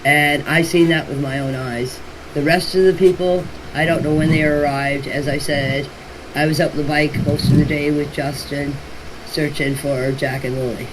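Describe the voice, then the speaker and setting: A plain-spoken woman recounting events in a casual voice message, in halting and repetitive phrasing. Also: deep voice. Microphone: phone voice message. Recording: noisy voice clip, steady background hiss.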